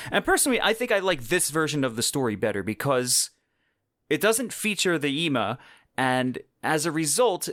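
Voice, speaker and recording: A man with a medium-pitched voice hosts a podcast solo, explaining things in a measured, semi-scripted way. He slips in personal asides as he goes. The sound is very dry, with no room echo. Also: fairly bright tone. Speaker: podcast host.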